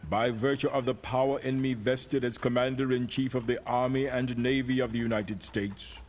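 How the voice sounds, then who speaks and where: A man plays a solemn wartime president in a dramatic reading. He proclaims in a stately, oratorical way at a middle pitch, with some noise behind the voice. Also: fairly even intonation, normal speed, a little mellow, close to an everyday voice. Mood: solemn, resolute.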